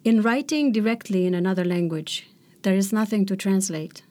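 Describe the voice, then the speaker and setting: Middle-aged woman, poet guest, measured and reflective. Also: medium pitch, warm tone. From Romania. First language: Romanian. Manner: thoughtful, measured, searching for words. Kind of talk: poetry reading and reflective interview answers.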